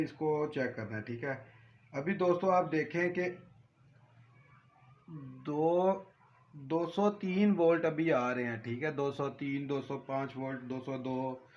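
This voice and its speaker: A man giving an informal hands-on repair tutorial, speaking straight to viewers and repeating his points. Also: on the high side. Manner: addresses viewers directly, repeats points and checks for understanding. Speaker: electronics repair tutorial host. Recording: echoey room, background noise.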